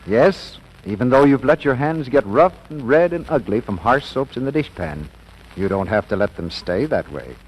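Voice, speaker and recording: Animated male radio announcer. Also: mid-range voice, moderate pace, soft background music, rough recording. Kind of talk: 1940s radio announcing, sales pitch. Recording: old radio recording with faint noise.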